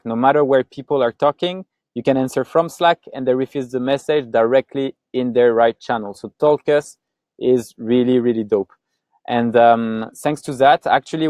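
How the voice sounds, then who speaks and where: A man's voice: mid-range voice, darkish tone, dry recording. A man from Paris with a French accent, presenting his own results in a loose, chatty webinar talk full of fillers and restarts. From France.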